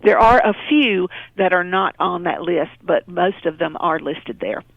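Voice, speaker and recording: Woman, radio interview guest, hesitant with frequent pauses, thin phone-band audio, dry. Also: lowish voice, vocal fry, no room sound, narrow, tinny audio. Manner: hesitant, frequently pausing mid-sentence. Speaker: radio interview guest, local development organisation president. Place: remote call into a radio show.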